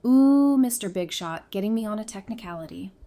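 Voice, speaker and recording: A woman playing a playful, sassy, warm-hearted doctor in an audio drama, with an expressive, teasing delivery. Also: mid-range voice, brisk, lightly acted. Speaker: voice actor in a fan audio drama. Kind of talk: conversational audio drama acting.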